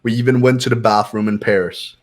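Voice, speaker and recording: A man's voice: fairly low pitch, fairly even intonation, fairly quick, soft background music, close to an everyday voice. A man voicing a cool, commanding young man who questions and explains formally in a visual novel game. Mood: matter-of-fact, superior. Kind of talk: amateur visual novel voice acting.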